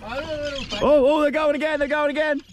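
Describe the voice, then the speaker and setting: An Australian man chatting excitedly while fishing on a boat, often repeating himself as he calls out. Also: very high voice, moderate pace. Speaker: host of a fishing and camping vlog. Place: outdoors on a small boat at sea. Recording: noisy outdoor boat recording.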